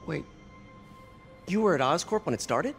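A man's voice: on the high side, expressive, normal speed, creaky, a bit bright, soft background music. Earnest young man. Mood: awkward, caring. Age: young adult. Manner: hesitant, sincere. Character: earnest, awkward young lab assistant and nephew. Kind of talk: naturalistic video game acting.

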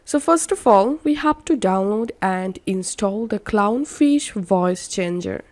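A woman narrating a software tutorial, giving step-by-step instructions. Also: mid-range voice, expressive, slow pace, no room sound.

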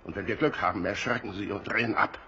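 Deep-voiced, resolute castaway leader. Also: male voice, steady tone, fast talker, sharp tone, slightly rough. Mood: determined. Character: resolute male leader of island castaways planning defence. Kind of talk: radio play acting. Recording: dull recording with background noise.

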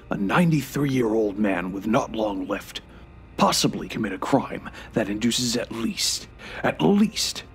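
An actor playing a dying ninety-three-year-old grandfather in an audio drama, speaking in a halting, deliberate way with breathy near-whispers. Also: fairly low pitch, fairly bright tone. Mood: bitter, resigned. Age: 90s as played. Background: music.

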